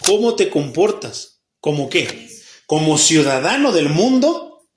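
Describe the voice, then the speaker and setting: A man's voice: on the high side, takes it slowly, slight background noise. A Mexican man, a pastor with a Mexican Spanish accent, giving a Bible study sermon in a church hall. He keeps putting questions to the congregation and answering them himself. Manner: acts out the people he quotes, even mock babbling.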